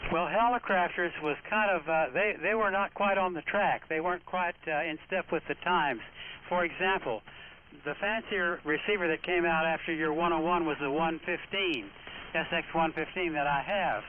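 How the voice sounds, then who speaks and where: A man's voice: a little high, normal speed. An American man chatting casually as net controller in an amateur radio exchange. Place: voice heard over amateur radio link. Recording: tinny shortwave radio, clear static noise.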